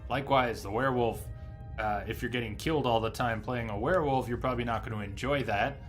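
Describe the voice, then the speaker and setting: A man's voice: medium pitch, fast, a bit bright, faint music behind. A man giving casual, chatty live commentary as a game streamer, explaining things and reading menu text aloud.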